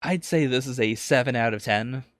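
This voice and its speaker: A man's voice: medium pitch, normal speed, dry recording. A man co-hosting a podcast in a casual review discussion, with a hesitant, rambling way of phrasing things. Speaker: podcast co-host.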